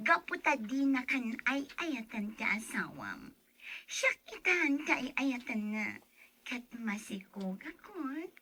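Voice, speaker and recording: An actress as a smug, taunting mistress in a radio melodrama. She drags her words slowly in a high, bright voice. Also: very animated, heavily acted. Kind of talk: melodramatic radio-style drama acting.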